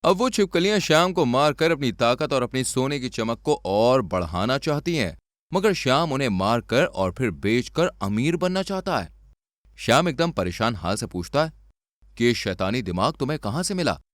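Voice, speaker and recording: A man's voice: medium pitch, sing-song, moderate pace, a bit bright, no echo, clean studio sound. A man narrating a scripted cartoon story as a storyteller.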